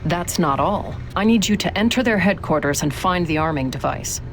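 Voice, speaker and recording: A woman playing a tough, confident special agent in a video game cutscene, brisk, bossy and teasing. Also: lowish voice, animated, slight background noise. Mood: brisk, commanding.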